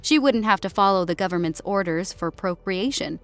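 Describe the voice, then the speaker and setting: A woman's voice: mid-range voice, animated, a little fast, fairly bright tone, clear, resonant tone. A woman narrating a scripted science fiction story as an audiobook.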